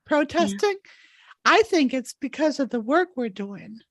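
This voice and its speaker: A woman's voice: high-pitched, big pitch swings, unhurried, fairly bright tone, no room sound. A woman hosting a live healing session, moving between guided affirmations and informal, encouraging chat. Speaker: host, energy healer leading a live session. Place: live online video call.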